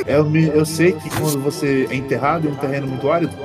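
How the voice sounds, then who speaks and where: A rambling, forgetful ancient artificial intelligence played by a man in an audio roleplay. The voice sits a little high and wanders hesitantly into lectures, with clear music underneath. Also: fairly even intonation, fast, close to an everyday voice. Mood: chatty, lecturing. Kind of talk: tabletop roleplay in character.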